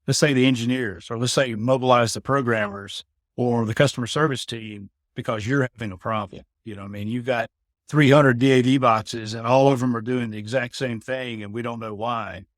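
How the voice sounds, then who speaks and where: A man's voice: mid-range voice, fairly even intonation, moderate pace, dry recording, studio quality. A middle-aged man, a veteran of his trade, explaining things in a casual conversation, often repeating words and asking "you know what I mean?". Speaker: guest, building automation integrator veteran.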